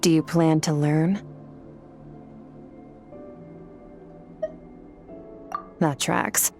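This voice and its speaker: An aloof female warrior mentor from a video game, with a fairly low voice. She is composed and blunt, explaining things in a deadpan, detached manner over clearly audible music. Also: a little slow. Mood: matter-of-fact. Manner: deadpan, matter-of-fact, detached. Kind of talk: video game character acting.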